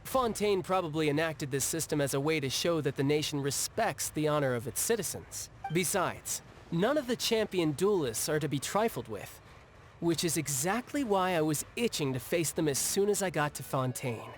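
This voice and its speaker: An actor playing a breezy, confident young male fighter in a video game, speaking in a casual, smooth, conversational manner. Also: on the high side, animated, normal speed, a little hiss or hum, close to an everyday voice. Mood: explanatory. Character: breezy, confident young male warrior who relishes duels. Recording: music behind the voice. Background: game music.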